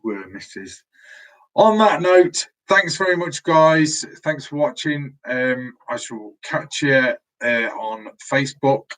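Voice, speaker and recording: A man's voice: mid-range voice, animated, takes it slowly, fairly bright tone, rough recording. A man hosting a casual live stream from his room at home, losing his voice, rambling and restarting his sentences, heard through a laptop mic. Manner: rambling, restarts often, chatty.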